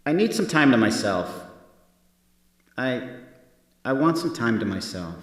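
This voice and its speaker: A man playing a solitary, wry space station commander in a science fiction audio drama, with a casual, expressive delivery. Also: mid-range voice, unhurried. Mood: stiff, insistent.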